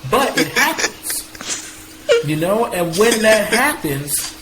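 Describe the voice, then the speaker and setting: Passionate man, voice climbing when excited. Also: slow pace. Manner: passionate, voice rising higher and louder as he gets animated; talks with hands, bouncing while speaking. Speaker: podcast guest. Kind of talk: candid conversational sharing. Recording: roomy sound with clear background noise.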